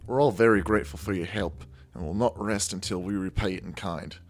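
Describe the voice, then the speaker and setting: Casting: male actor as courteous, sincere boy band member, very low voice, light music underneath. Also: a little fast. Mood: earnest, kind. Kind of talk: audio drama acting.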